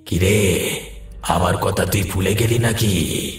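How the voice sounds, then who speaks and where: A deep, ominous jinn acted by a man from an animated horror tale. He is slow and commanding, the voice swimming in echo with faint music behind. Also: gravelly, heavily acted. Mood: menacing, commanding. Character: menacing male jinn demanding back his long-lost daughter. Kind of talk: dubbed horror cartoon dialogue. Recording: echoing, with music underneath.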